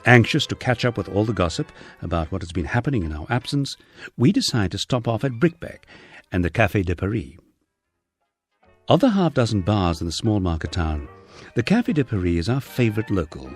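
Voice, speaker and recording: A man's voice: lowish voice, big pitch swings, fairly quick, soft background music. A British man narrating a humorous memoir in a wry, dry storytelling manner. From United Kingdom. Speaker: audiobook narrator.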